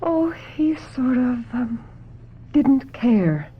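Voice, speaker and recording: An actress playing a distraught, guilt-ridden, fragile woman in a radio mystery, halting and sometimes sinking into a whisper. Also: high-pitched, big pitch swings, full character voice. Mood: wistful. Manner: halting, breathy lapses into whisper, melodramatic. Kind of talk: old-time radio mystery acting. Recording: dull lo-fi old radio recording, music behind.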